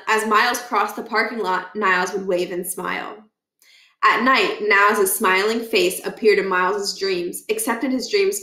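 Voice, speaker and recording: A woman reading a children's book aloud as expressive narration, with casual explanatory asides. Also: mid-range voice. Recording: echoey room.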